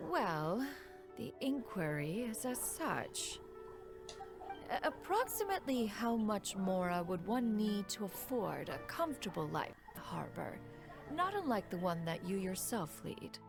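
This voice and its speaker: A woman's voice: mid-range voice, very animated, measured pace, vocal fry, over music. A woman voicing a proud, aloof, ageless immortal in a game, speaking in a stiffly formal, archaic way. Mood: formal, hesitant. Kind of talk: scripted game dialogue.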